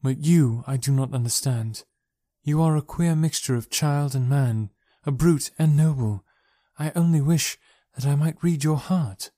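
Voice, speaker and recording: A man's voice: on the high side, unhurried, warm tone, dry recording, studio quality, lightly acted. A man reading aloud and voicing a noble, proud young alien princess who speaks earnestly and pleads. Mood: wondering.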